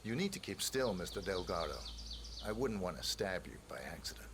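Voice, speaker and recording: A man playing a cold, calm hitman in a video game, speaking in a measured, dryly menacing way. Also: sits low. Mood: cool, polite. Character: cold, calm hitman posing as a tattoo artist. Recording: long reverb, faint ambient noise.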